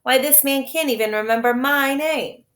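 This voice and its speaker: A woman's voice: sits high, moderate pace, a bit bright, pitched above the performer's own voice. Woman reading as a stuffy, condescending man, echoey room. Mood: weary, stern. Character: dismissive, weary grown man, stuffy family guardian.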